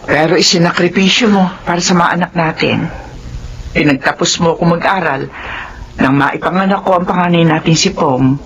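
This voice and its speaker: A woman's voice: fairly low pitch, moderate pace, highs cut off, natural voice. A woman playing a devoted middle-aged wife and mother in a family drama, earnest and reassuring. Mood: tender, proud. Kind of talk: sentimental family drama acting.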